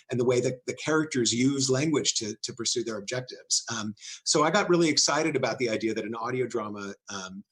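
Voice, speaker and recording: A man's voice: mid-range voice, a bit bright, husky, rough recording. A man who works as a theatre director and co-host, talking casually about productions in an earnest, explanatory manner.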